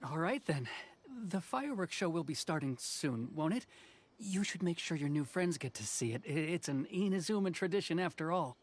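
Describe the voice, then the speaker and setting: A man's voice: fairly high pitch, lively intonation, moderate pace, a little hiss or hum, natural voice. A man playing a polite, cautious young helper in a video game, with a hesitant, slightly stammering delivery. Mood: friendly. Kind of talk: video game character acting. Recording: faint music behind the voice.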